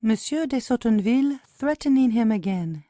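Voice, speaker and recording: A woman's voice: fairly high pitch, animated, moderate pace, mellow, no echo, clean studio sound. A woman narrator reading stage directions as scripted narration.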